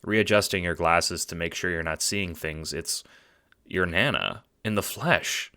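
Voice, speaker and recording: A man's voice: low voice, a little slow, sharp tone. A man narrating an audio drama in the second person, with dramatic storytelling delivery. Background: music.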